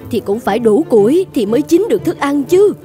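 A woman playing an exasperated, plain-spoken village housewife in an animated fairy tale, protesting and talking back. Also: high voice, fairly quick, faint music behind. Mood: indignant.

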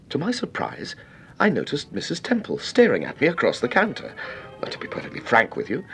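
An actor playing a courteous, anxious English gentleman in a vintage radio drama, with a British accent and hesitant, formal speech. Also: on the low side, creaky, exaggerated voice. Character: polite, anxious English gentleman, earnest and apologetic. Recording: noisy old radio drama recording.

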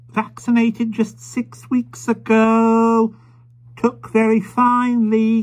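A man's voice: high voice, warm tone, breathy edge, voice pushed up, exaggerated voice, poor audio. A man doing a breathless, shrieking young mother. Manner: shrieking short sentences.

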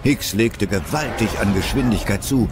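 A man narrates a scripted audio story. His voice sits fairly low, and music plays behind him. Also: animated, normal speed.

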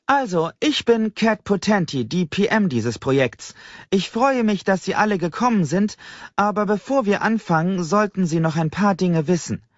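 A commanding young woman team leader, acted by a male audiobook narrator, speaks in a raised, high voice. She announces things briskly and in an organized way to a gathered crowd. The audio is slightly dull. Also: lively intonation, no echo. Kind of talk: audiobook character voice.

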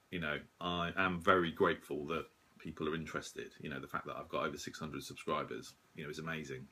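Guy in his 40s, really low bright voice, bit rough from a late night, hesitant casual update. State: slightly rough and husky after a late night out. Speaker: shortwave radio hobbyist and video host. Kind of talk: casual unscripted update to camera.

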